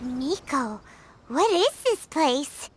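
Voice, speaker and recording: A woman voicing a tiny, excitable female fairy companion in a video game, who talks about herself in the third person. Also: sits high, extremely slow, slight background noise, heavily acted. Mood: scared.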